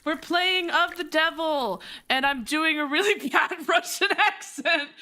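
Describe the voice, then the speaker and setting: A woman's voice: high-pitched, measured pace, pushed and bright. A young woman streamer chatting and laughing through a story game, poking fun at her own voice work. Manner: giggly, chatty, self-mocking. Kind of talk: casual gameplay commentary.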